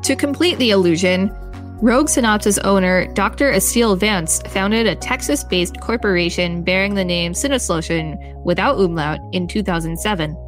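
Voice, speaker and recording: A woman's voice: medium pitch, moderate pace, soft background music. A woman co-hosting a podcast, narrating a scripted encyclopedia-style article aloud.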